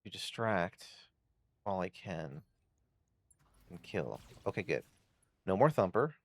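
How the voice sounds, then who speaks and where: A man's voice: low voice, big pitch swings, slow pace. A man giving casual video game commentary as a streamer, thinking aloud through tactics in short bursts. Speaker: gameplay streamer.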